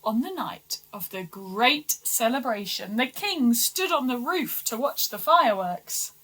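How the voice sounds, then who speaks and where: A woman reading a children's storybook aloud with expressive, deliberate, dramatic emphasis. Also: fairly high pitch, breathy edge, rough recording. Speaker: story reader for schoolchildren.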